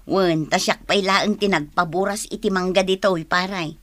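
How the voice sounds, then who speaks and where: A woman's voice: fairly low pitch, steady tone, normal speed, fairly bright tone, dry recording, lightly acted. A woman with an Ilocano accent playing a bossy, chatty village wife in a radio comedy drama. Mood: chatty, selling.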